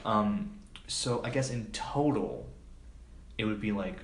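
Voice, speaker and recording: A man's voice: fairly low pitch, slow, a crowd in the background. A man speaking as an interview guest in a casual, informal, hesitant way, with lots of filler words. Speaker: podcast guest, film production assistant. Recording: echoey room, background noise.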